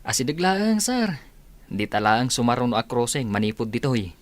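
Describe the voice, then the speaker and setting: A man's voice: medium pitch, big pitch swings, normal speed, lightly acted. A man playing an easygoing, respectful village workman in a radio comedy drama. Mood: helpful. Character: easygoing, deferential village workman, helpful and chuckling. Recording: faint vehicle noise behind.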